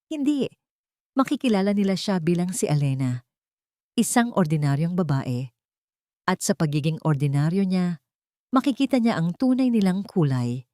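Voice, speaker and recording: Low-voiced woman narrating a dramatic story. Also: mellow, dry recording, clean studio sound.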